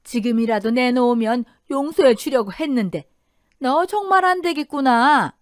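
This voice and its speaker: Woman as cruel older mother-in-law, audio drama, furious, sneering, accusing, high swooping voice. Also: lively intonation, moderate pace, no room sound, clean studio sound. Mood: accusing, threatening.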